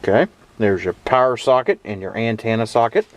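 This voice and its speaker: A man's voice: on the low side, fairly quick. A man giving a playful, theatrical hands-on demonstration with storytelling narration, recorded inside the cab of a truck. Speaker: vlogger demonstrating vintage gadgets. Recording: clear background noise in vehicle cab.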